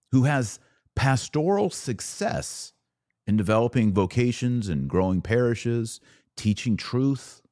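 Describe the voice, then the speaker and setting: A man's voice: medium pitch, a little mellow, no echo, clean studio sound. An American man giving opinionated solo podcast commentary, sarcastic and emphatic, repeating phrases for effect.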